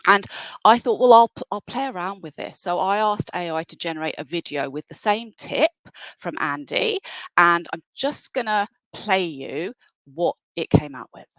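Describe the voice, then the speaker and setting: A woman's voice: lowish voice, animated, normal speed, fairly bright tone, no room sound, rough recording. Enthusiastic woman presenting a webinar. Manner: enthusiastic, conversational, with fillers and restarts.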